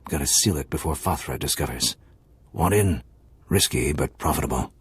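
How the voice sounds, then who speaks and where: A game character, a gruff alien fixer with an extremely low, very husky voice. He speaks in clipped, broken telegraphese, secretive and all business, recorded dry and close. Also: moderate pace, dry recording, full character voice. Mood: terse, secretive. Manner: clipped, telegraphic broken phrasing with tag questions.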